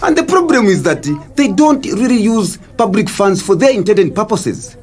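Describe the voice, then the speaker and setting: Curious Zambian man, very animated. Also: sits high, normal speed, slightly rough, soft background music, exaggerated voice. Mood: cynical. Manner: conversational, questioning. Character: laid-back, curious Zambian man, cynical about corrupt leaders. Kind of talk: scripted educational audio drama.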